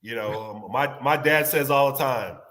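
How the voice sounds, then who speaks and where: A man's voice: medium pitch, moderate pace, a bit bright, slight background noise. A man with a stuffy nose, guesting on a casual podcast chat, rambling and restarting his sentences. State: stuffy nose, under the weather.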